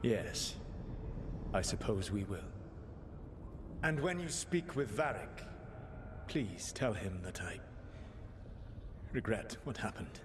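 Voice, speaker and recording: An actor playing a grave, commanding ancient elven mage in a video game, with formal, deliberate phrasing. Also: male voice, fairly low pitch, lively intonation, background noise. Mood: reserved. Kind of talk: dramatic video game voice acting. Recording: loud music behind the voice.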